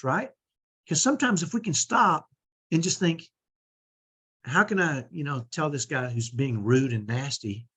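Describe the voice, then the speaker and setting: A middle-aged man with a strong, powerful voice, coaching parents in an energetic, emphatic way and often checking in with "right?". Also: on the high side, slightly rough. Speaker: host.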